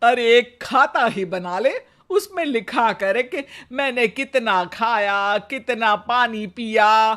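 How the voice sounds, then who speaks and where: Woman playing a bossy old Hindi mother-in-law, slow-spoken. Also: on the high side, fairly bright tone. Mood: demanding. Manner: scolding, snappy, theatrical. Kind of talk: comic animated moral story acting.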